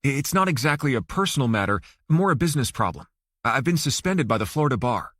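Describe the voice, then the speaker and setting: A man's voice: medium pitch, big pitch swings, quick pace, fairly bright tone, no room sound, studio quality. A man voicing a cocky, evasive male lawyer in an audiobook, probing with hesitant starts. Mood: defensive. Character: Rafael Suarez, cocky evasive Cuban-American suspended lawyer.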